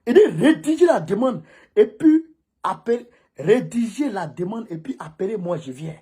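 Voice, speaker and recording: A man with a West African French accent delivering an informal political monologue as a commentator, emphatic and repeating phrases for stress, with a husky voice. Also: sits high, expressive, moderate pace, mellow.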